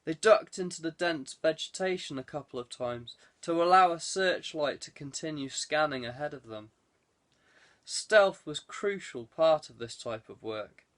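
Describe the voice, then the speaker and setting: A man's voice: fairly high pitch, animated, a little slow, a bit bright. A man reading scripted fiction as an audiobook narrator. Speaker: audiobook reader.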